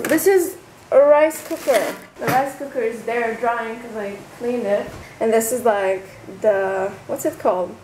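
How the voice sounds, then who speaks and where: Young woman chatting slowly, swearing casually. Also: a little high, roomy sound, a little hiss or hum, low-quality recording. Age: 20s. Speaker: travel vlogger. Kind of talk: casual vlog with room tour. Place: small rented room in a residence. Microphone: handheld camera at arm's length.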